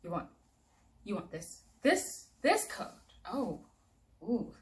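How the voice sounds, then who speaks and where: A woman's voice: mid-range voice, big pitch swings, slow, far from the mic. Nervous vain storybook brother, stammers and hedges, a woman putting him on. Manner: hesitant, stammering.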